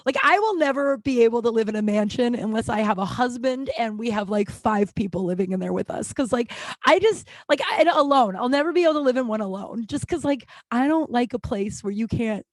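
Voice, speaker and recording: A woman's voice: on the high side, lively intonation, a little fast, no room sound, studio quality. A woman in her late thirties hosting a solo podcast, rambling candidly and confessionally with plenty of swearing and restarts. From Denver, USA. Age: 30s. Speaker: podcast host.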